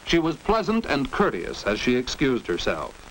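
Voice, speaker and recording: A man's voice: medium pitch, wildly swooping pitch, normal speed, fairly bright tone. A man with an American accent narrating a 1940s instructional film in a precise, didactic manner. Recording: noisy 1940s educational film soundtrack.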